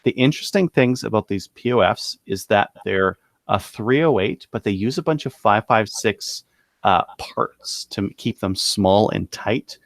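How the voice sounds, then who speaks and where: Low-voiced man, casual podcast host. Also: no echo. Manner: halting, thinking aloud. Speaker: podcast co-host presenting gun deals. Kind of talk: casual podcast product rundown.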